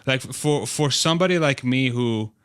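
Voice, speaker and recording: A man's voice: mid-range voice, normal speed, fairly bright tone, clear, resonant tone. A man, chatting casually and earnestly as a podcast guest. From Spain, then United States from age twelve. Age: 30s. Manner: earnest, enthusiastic. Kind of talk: casual interview chat.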